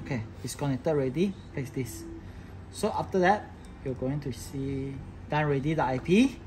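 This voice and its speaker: Male installer, mid pitch, informal step-by-step tech tutorial, noisy room recording. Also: moderate pace, darkish tone. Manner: instructional, talking through each step while working. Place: indoor office workbench with electronic devices.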